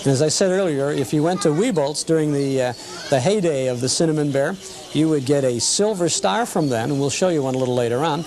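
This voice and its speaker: Higher-voiced male host with some fry. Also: fairly quick, a little mellow, a little hiss or hum. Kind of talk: informal nostalgia TV presenting.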